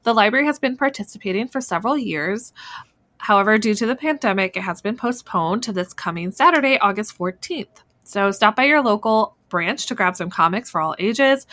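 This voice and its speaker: A woman with a medium-pitched voice acts as host. She delivers announcements and hands over the conversation in an informal style. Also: fairly bright tone. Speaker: podcast host. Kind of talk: announcements and casual hosting.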